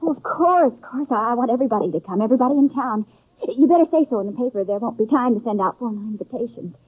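An actress playing an earnest, proud young American bride-to-be in a 1950s radio western, with hesitant phrasing. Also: female voice, a little high, lively intonation, fast talker, slightly rough, no echo. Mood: flustered, insistent. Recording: muffled, band-limited 1950s radio recording.

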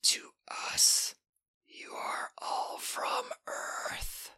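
An ancient rasping Martian creature. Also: male voice, sharp tone, exaggerated voice. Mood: stern. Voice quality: rasping whisper. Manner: slow, solemn, ominous. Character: ancient whispering gray Martian creature, stern and otherworldly. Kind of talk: audiobook character voice.

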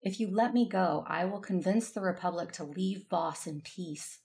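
Female Jedi lead for audio drama: actress playing earnest, determined, introspective, on the lower side with gravelly edges. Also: moderate pace, darkish tone, close to an everyday voice. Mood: pleading, defiant. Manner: introspective, earnest first-person narrating. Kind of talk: audio drama acting with narration.

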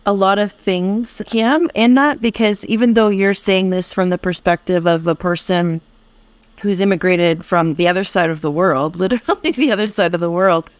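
A woman's voice: fairly low pitch, normal speed, dry recording. A woman from the United States hosting a conversational interview, empathetically relating to the guest. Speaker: podcast host.

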